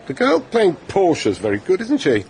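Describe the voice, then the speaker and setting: An actor playing a sarcastic, tight-fisted middle-aged British husband in a radio drama, exasperated and sardonic. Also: male voice, high-pitched, wildly swooping pitch, normal speed, a little mellow, slight background noise, exaggerated voice, low-quality recording. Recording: faint theatre bar ambience.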